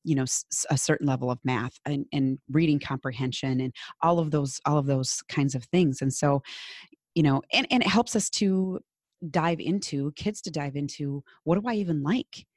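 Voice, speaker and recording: A woman's voice: deep voice, moderate pace, darkish tone, dry recording. Chatty Minnesotan woman, dry close mic. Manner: chatty, many fillers and restarts, laughs easily. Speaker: podcast guest, business coach. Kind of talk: casual interview chat.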